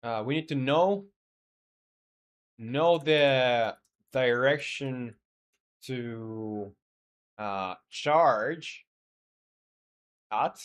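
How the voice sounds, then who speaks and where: A man speaking at a mid pitch, and his first language is Spanish. He talks casually on a live coding stream, working things out aloud in halting bursts full of hesitations. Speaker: game developer streaming live.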